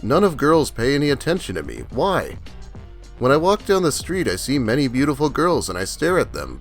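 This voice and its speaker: Guy doing whiny lonely teen dude, broken english, super up and down, mocking internet skit. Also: medium pitch. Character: whiny, self-pitying lonely teenage male, aggrieved.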